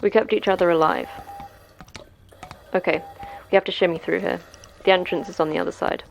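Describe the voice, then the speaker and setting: Gruff lady guide in a sci-fi horror podcast, gravelly, bit low, terse and bossy. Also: steady tone, fairly quick, slight background noise, low-quality recording. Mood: firm. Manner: terse, commanding, matter-of-fact. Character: brusque, seasoned guide through a monster facility. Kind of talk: science fiction horror audio drama acting.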